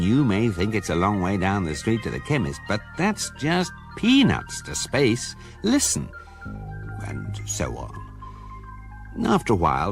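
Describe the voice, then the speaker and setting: A British man narrating a scripted radio drama in a measured, wry, deadpan way. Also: on the low side. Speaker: narrator, reading a guidebook's story.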